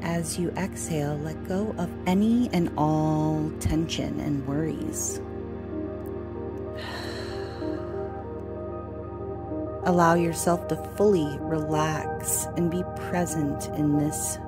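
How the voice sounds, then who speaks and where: A woman leading a guided meditation as host, speaking soothingly in short, deliberate phrases with long pauses. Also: sits low, fairly even intonation. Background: music.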